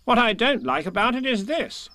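A man's voice: extremely high pitch, sing-song, moderate pace, pushed and bright, no echo, pitched above the performer's own voice, exaggerated voice. A man voicing a bossy, exasperated rabbit in a children's storybook, speaking in an emphatic, officious way. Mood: indignant. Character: bossy, brisk, exasperated male rabbit who takes charge.

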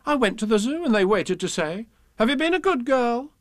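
A man acts a little girl reciting a children's poem. Her voice is high and lively, with the puzzled, put-upon air of a well-behaved child. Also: brisk, no room sound, clean studio sound. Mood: exasperated.

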